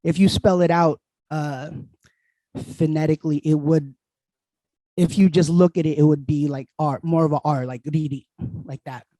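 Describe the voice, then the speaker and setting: New guy, queens born, high mellow voice, chill podcast chat, laughs a lot, handheld mic. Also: steady tone, no room sound, rough recording. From Queens, New York. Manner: laid-back, laughs often, drawn-out explanations. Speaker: guest DJ. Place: small bright room at home. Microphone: handheld dynamic mic held close.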